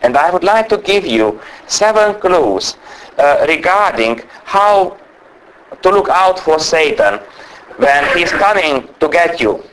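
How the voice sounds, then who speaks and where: A man giving a sermon as a guest preacher. He speaks English with a strong non-native accent, talks fast by nature and tries to slow down, and sounds under the weather with a cold. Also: a little high, very animated, a bit bright, rough recording. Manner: naturally fast talker trying to speak slowly. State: unwell with a cold or flu.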